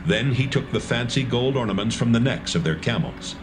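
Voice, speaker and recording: A man narrating a dramatised scripture reading with a measured storytelling delivery. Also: medium pitch, lively intonation, noisy background.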